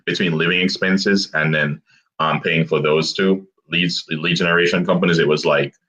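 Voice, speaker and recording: A young man guest telling his story in a relaxed interview, with halting restarts and frequent laughter. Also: deep voice. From abroad, grew up outside the US. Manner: laughs often, self-deprecating, halting restarts. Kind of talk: casual interview chat. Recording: dull lo-fi online call audio.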